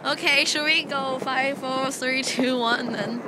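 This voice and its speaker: A woman's voice: high-pitched, steady tone, unhurried. A young woman chatting casually into a handheld camera on a city street at night, in non-native English with lots of fillers. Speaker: vlogger filming on the street. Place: outdoors on a city street at night during fireworks. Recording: loud street noise, fireworks bangs. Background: fireworks, traffic and street noise.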